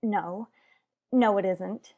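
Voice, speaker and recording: A woman's voice: medium pitch, extremely slow, dark tone. A woman playing a headstrong married mother in a radio soap, lecturing her rival bluntly and emphatically. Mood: earnest, tense. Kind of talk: radio soap opera acting.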